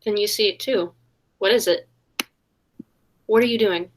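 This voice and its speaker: A woman's voice: mid-range voice, a little slow, close to an everyday voice. A woman playing an earnest heroine, a detective's sweetheart in peril, in a 1940s style radio play. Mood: nervous.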